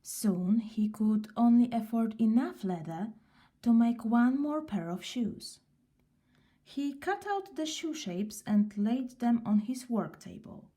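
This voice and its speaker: Slow, mellow female storyteller. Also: fairly high pitch. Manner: unhurried, expressive narration. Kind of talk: children's story read aloud.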